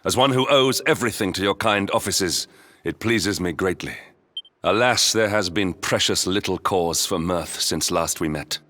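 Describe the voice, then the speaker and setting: An actor playing a stern, loyal knight in a video game, speaking in a formal, measured, courtly manner. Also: male voice, sits low, pushed and bright, slight background noise. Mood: grave. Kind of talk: video game character acting.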